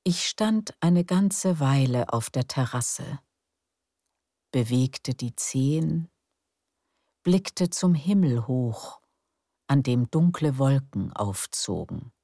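A woman narrating a calm bedtime story in a slow, soft, soothing way. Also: rumbling low, no room sound, studio quality.

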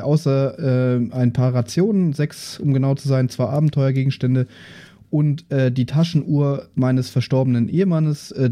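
A man casually introducing his tabletop role-playing character in a halting, informal way. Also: mid-range voice, dark tone. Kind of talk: casual character introduction on an actual-play podcast.

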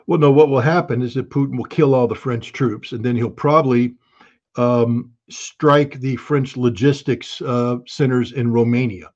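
A man's voice: medium pitch, fairly even intonation, normal speed, a little mellow, muffled recording. A man giving blunt, emphatic and scornful political commentary as an interview guest.

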